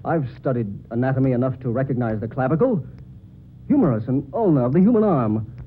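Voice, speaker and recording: A man's voice: mid-range voice, expressive, brisk, phone-quality sound, lightly acted. An actor playing a confident, educated male investigator in an old-time radio drama, articulate and theatrical. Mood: insistent. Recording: thin, noisy old radio recording.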